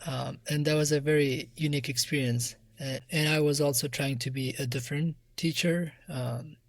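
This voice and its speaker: A soft-voiced man from Afghanistan with an Afghan accent, giving reflective answers as an interview guest. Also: on the high side, fairly even intonation, normal speed, dry recording. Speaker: interview guest, musician and music teacher.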